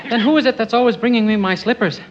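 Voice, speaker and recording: An actor playing a naive, cheerful, devoted husband with gee-whiz eagerness, in 1940s radio comedy style. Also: male voice, very high voice, fast. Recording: muffled, very noisy old radio recording.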